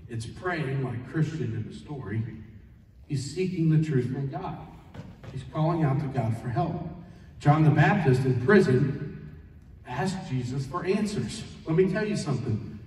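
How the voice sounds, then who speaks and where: A man, a pastor giving a plain-spoken, animated sermon to schoolchildren, full of rhetorical questions. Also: mid-range voice, a little slow. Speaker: pastor leading a children's chapel. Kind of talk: sermon to fifth and sixth graders. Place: large school gymnasium, indoors. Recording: echoey gym, distant microphone.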